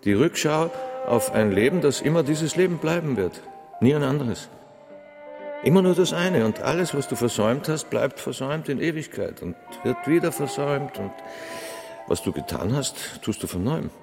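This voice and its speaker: An intense, socially awkward man of logic. His medium-pitched voice is deliberate and precise, in a radio play with clear music behind him. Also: expressive. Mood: menacing, lecturing. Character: intense, awkward, coolly logical male mathematician. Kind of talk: radio drama acting.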